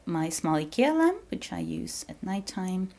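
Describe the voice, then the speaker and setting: A woman's voice: fairly low pitch, sing-song, measured pace, mellow. A young woman whose first language is Dutch, chatting casually as she shows her apartment room by room on a handheld camera, giggling and dropping to whispers now and then. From Belgium. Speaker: vlogger giving a home tour. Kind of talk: casual house tour narration. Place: small apartment room indoors. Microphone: handheld camera held while walking.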